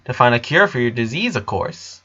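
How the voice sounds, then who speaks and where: Man voicing a clinical little genius girl, bright-edged. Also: mid-range voice, big pitch swings, a little fast, lo-fi sound. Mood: clinical, calm. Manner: formal, wordy, matter-of-fact scientific phrasing. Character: Lisa, precocious four-year-old genius girl, formal and clinical. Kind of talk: dramatic reading of cartoon fan fiction.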